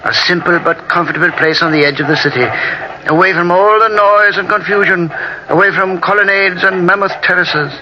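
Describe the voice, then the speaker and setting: A man's voice: sits high, fairly quick, close to an everyday voice. A man playing a genial, fussy old Italian painter with an effusive, chuckling manner in an old-time radio drama. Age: older. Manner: effusive, chuckling, sighing exclamations. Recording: dull, noisy vintage AM radio recording.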